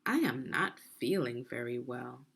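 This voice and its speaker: A woman voicing an expressive, gracious storybook queen who loves desserts and savours her words. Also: rumbling low, a little slow, creaky, slightly rough, pitched below the performer's own voice, heavily acted. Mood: queasy.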